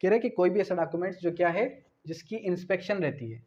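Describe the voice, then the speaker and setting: A man's voice: high voice, darkish tone, slight background noise. A man with a Pakistani accent lecturing for an exam, didactically reading out definitions, repeating key phrases and asking rhetorical questions as he explains. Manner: switches between Urdu and slowly read English phrases. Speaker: law lecturer. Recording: dull lo-fi lecture audio.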